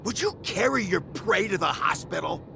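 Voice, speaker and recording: An actor playing a scheming, blustering middle-aged businessman father in a video game, with theatrical, drawn-out emphasis. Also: high voice, expressive, creaky, sharp tone, slight background noise, full character voice. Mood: indignant, scolding.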